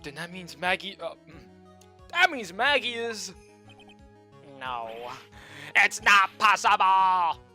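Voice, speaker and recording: Young male game streamer, joking and excitable, slightly high voice with a sharp, bright tone. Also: slow, long pauses, faint music behind. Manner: excitable, joking, swings between shouting and muttering. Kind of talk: casual gameplay commentary.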